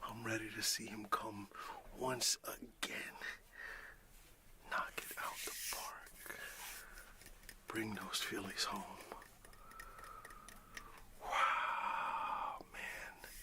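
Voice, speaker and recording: A man playing a warm, excited father who talks affectionately and in a folksy way to his young son in a breathy whispered ASMR roleplay. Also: lowish voice. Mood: excited, admiring. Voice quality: breathy whisper with gravelly creak. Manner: unhurried, folksy, affectionate, with long pauses.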